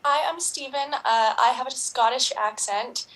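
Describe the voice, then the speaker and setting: A woman performing a comic improv impression in a halting delivery full of fillers. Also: high voice, a bit bright, slight background noise, low-quality recording.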